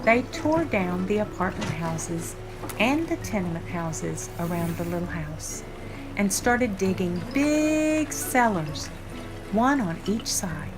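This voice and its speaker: An older woman reading a children's picture book aloud, with deliberate, expressive phrasing for young listeners. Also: medium pitch, rough recording. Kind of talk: read-aloud storytime narration. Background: background music.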